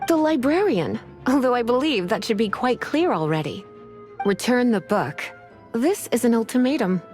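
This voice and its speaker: A woman voicing a sultry, teasing librarian who speaks in a languid, gently threatening way, in a video game with music behind. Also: on the high side, big pitch swings, normal speed. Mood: cold.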